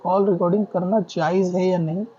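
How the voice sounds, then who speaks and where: A high-voiced man gives religious guidance like a teacher. His intonation stays very level as he reasons point by point and restates things. The recording is dull and lo-fi. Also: fairly even intonation, a little fast, dark tone, slightly rough. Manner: didactic, reasoning step by step with repetition. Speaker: Islamic scholar answering questions. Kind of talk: explanatory religious ruling in question-and-answer form. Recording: dull lo-fi audio.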